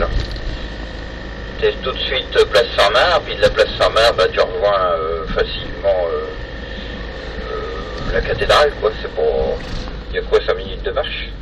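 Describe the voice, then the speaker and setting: A middle-aged man with an accent from France, chatting casually and haltingly over a two-way radio. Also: on the low side. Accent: French, from France. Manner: hesitant, halting. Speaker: amateur radio hobbyist. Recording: distant, very noisy FM radio contact.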